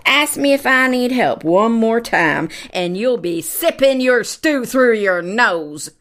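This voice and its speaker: Feisty cowgirl fish scout, kinda high voice, gruff and folksy, picks fights, western audio comedy. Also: expressive, takes it slowly, a bit bright. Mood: irate, combative. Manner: gruff, combative, folksy Old West talk. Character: tough, cantankerous female frontier scout fish, quick to threaten.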